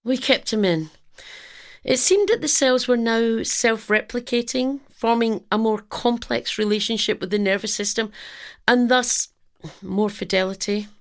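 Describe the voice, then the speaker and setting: Precise female scientist, slightly gravelly. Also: on the high side. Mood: earnest. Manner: measured, clinical. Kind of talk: audio drama acting.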